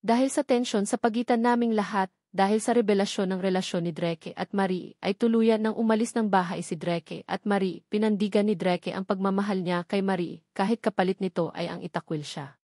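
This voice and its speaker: Woman reading out a romance novel, mid pitch voice, really dry sound. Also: steady tone, a little fast, a little mellow, no room sound. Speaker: audiobook narrator. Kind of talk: scripted first-person romance novel narration.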